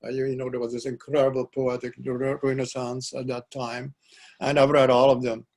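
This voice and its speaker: An older man whose first language is Dutch, a poet being interviewed, speaking reflectively and pausing often to search for words. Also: medium pitch, little pitch movement, a little mellow. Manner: halting, searching for words, frequent restarts. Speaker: poet guest.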